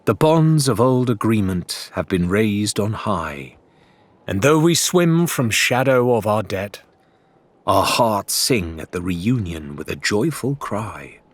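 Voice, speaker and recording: Man voicing solemn, slow chanting merfolk. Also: lowish voice, big pitch swings, no room sound, exaggerated voice. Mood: solemn reverence.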